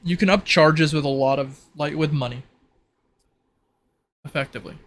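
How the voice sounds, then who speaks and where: A man's voice: on the high side, moderate pace, a bit bright, slight background noise. A man acting as game master, casually explaining the rules of a tabletop roleplaying game.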